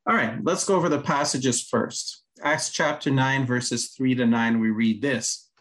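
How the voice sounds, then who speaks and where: A man's voice: medium pitch, measured pace, fairly bright tone. A man, a ministry director, explaining things in a careful, lecturing way on a podcast, with the odd "uh". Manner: methodical, lecturing with examples and filler "uh". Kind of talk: scripted-feeling podcast discussion, explaining scripture.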